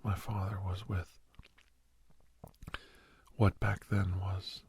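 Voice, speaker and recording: A man playing a middle-aged doctor who reminisces earnestly in a soft, half-whispered ASMR roleplay, breathy and halting, close to the microphone. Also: flat delivery, heavily acted. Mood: quiet, confiding. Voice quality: breathy, husky, low. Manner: halting, hushed, dramatic pauses. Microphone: close, dry microphone.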